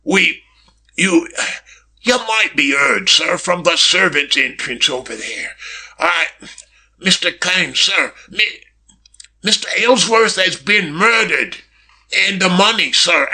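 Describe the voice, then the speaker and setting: Audiobook footman, acted by a man: high, quavering, servile, hesitant, jittery and eager to please. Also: expressive, a little slow, sharp tone, exaggerated voice. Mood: fearful, urgent. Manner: hesitant, obsequious, stammering. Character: nervous, deferential male footman, pleading servant.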